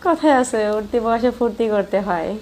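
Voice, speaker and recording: A woman's voice: on the high side, lively intonation, brisk, mellow, lightly acted. A woman playing a coy, evasive married sister-in-law in a colloquial phone-call drama, chiding as she talks. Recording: faint background noise.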